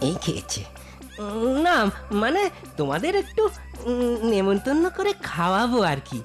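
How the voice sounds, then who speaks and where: Bold, scheming village husband for kids' cartoon, played by a woman, hugely animated delivery, faint music underneath. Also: a little high, moderate pace. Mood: coaxing, confident. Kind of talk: animated cartoon dialogue for children.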